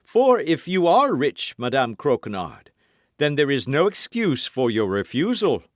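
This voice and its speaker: A man's voice: medium pitch, very animated, measured pace, dry recording, clean studio sound, pitched above the performer's own voice. Porthos, a man doing a boastful musketeer. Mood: lofty. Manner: grand, wheedling, mock-melancholy. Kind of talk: audiobook character reading.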